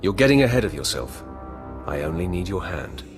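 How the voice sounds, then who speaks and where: An actor playing a stern, self-assured young Sith warrior in a video game, speaking in short, firm phrases. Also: male voice, deep voice, very animated, a little fast. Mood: calm resolve. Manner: terse, firm delivery. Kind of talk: video game cutscene voice acting. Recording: loud music under the voice.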